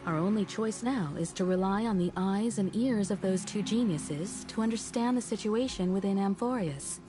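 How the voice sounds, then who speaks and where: A woman's voice: medium pitch, normal speed, over music, lightly acted. A woman playing a poised, caring, pragmatic leader in a game, composed and decisive. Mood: steadying. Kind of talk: game character dialogue.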